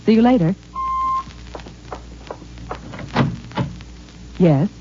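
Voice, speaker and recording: A woman's voice: medium pitch, expressive, fast, noisy background, close to an everyday voice. An actress playing a composed, formal and emotionally guarded woman scientist in a 1950s radio drama. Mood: guarded, evasive. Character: cool, restrained woman geneticist professor in underground future. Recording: dull, muffled old radio recording.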